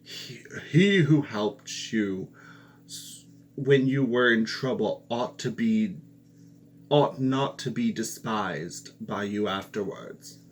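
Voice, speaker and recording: A stern old king from a fairy tale, read by a man, firm and commanding. He speaks loudly and slowly, each word weighed. A faint hum runs underneath. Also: fairly low pitch. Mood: angry.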